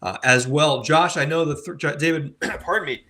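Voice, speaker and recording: A man hosting a crypto panel, slightly hoarse and speaking in a conversational, steering way. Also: a little high, moderate pace, pushed and bright, a little hiss or hum. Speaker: host. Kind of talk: panel discussion.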